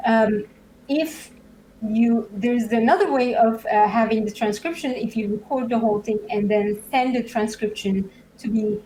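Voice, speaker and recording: A woman whose first language is Turkish, casually demoing software as a guest, with many fillers and frequent small laughs. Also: a little high, moderate pace, a little hiss or hum, poor audio. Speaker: guest developer advocate. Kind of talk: casual tech demo chat.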